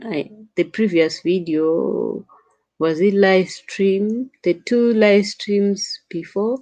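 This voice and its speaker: Slow-talking young woman live streaming. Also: lowish voice, dark tone. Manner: slow, chatty, laughs often, addresses viewers as sister. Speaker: vlogger. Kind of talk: late-night live stream chat, answering viewer comments.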